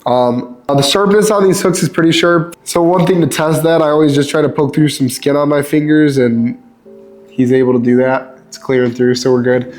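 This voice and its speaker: A young man with a stuffed-up nose, casually reviewing fishing lures in a relaxed, chatty way with lots of ums. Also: on the high side, normal speed, soft background music. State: stuffed up, sniffly. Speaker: lure reviewer.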